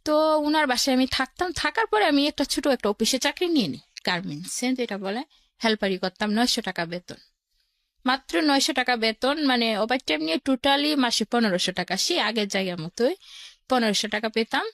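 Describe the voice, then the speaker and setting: A woman with a high voice and a Bangladeshi accent shares personal experience as a guest. She narrates in a plain, colloquial way, one step after another. The sound is dry and close. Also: lively intonation, brisk, fairly bright tone, no room sound. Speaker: guest telling her life story. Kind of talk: personal storytelling in an interview.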